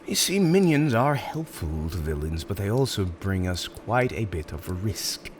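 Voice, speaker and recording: A man's voice: fairly low pitch, very animated, moderate pace, background noise. A man playing an urbane, smug supervillain in an ASMR roleplay, speaking in a courteous, theatrical and emphatic way. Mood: reassuring, explanatory. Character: urbane, smug male supervillain interviewing a prospective minion.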